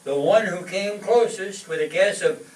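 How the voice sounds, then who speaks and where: A man's voice: sits high, a little slow. A man playing a formal, firm store manager in an old-time radio comedy, speaking in an announcing manner. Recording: echoey, distant mic, noisy room.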